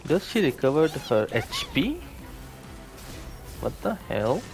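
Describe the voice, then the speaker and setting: Gravelly man commenting casually on gameplay. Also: huge pitch swings, slow pace, music in the background. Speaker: gaming video creator. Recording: noisy mic with low rumble.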